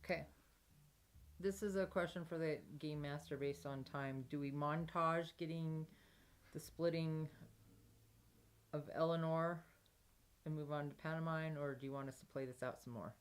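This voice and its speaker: A woman's voice: sits low, fairly even intonation, normal speed, long pauses. A woman talking casually as a player in a tabletop game, planning and asking questions.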